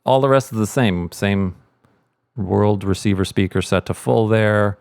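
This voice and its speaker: A man's voice: fairly low pitch, unhurried, vocal fry, a little mellow, no room sound, clean studio sound. A middle-aged man explaining sound mixing in an informal tutorial, thinking aloud as he goes. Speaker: sound mixer giving a tutorial. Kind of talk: casual tutorial walkthrough.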